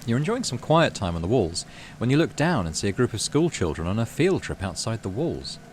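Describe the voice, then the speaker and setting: A man with a deep voice reads a game's story aloud in a measured, dramatic way. His pitch moves around a lot, and he slips into casual commentary as a host. There is clear noise behind him. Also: very animated. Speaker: gaming channel host. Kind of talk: dramatic read-aloud narration of a game story with casual asides. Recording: clearly audible background noise.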